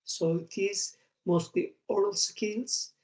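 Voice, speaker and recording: A man's voice: high-pitched, steady tone, darkish tone. Hesitant man. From southeast Turkey. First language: Kurdish. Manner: halting, many pauses and fillers. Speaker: podcast guest. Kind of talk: casual conversation. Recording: echoey room.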